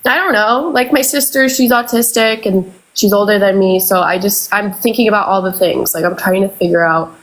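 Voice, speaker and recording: A woman's voice: mid-range voice, normal speed, few pauses, rough recording. Young woman caller in her twenties, stuffy and congested, rambling with many fillers. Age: 20s. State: congested from flu. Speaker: caller on a live stream. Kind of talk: casual advice-seeking chat.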